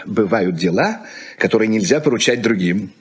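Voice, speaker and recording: An actor in a Soviet-era radio play, playing a busy, touchy middle-aged husband who grumbles and talks in a curt, sardonic way. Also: male voice, on the low side, lively intonation, moderate pace, slight background noise, lightly acted. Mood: curt, businesslike. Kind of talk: Soviet 1980s radio drama acting.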